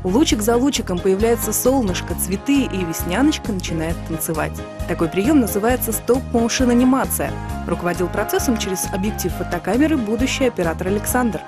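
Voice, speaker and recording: A woman's voice: mid-range voice, lively intonation, fairly quick. A woman reporter reading scripted narration for a television feature. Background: music.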